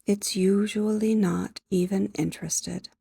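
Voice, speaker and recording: Female sleep hypnosis guide: mellow, creaky low-energy delivery, soft and breathy, gentle, measured, with long pauses. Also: fairly even intonation, no room sound, studio quality. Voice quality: soft, breathy, often near a whisper.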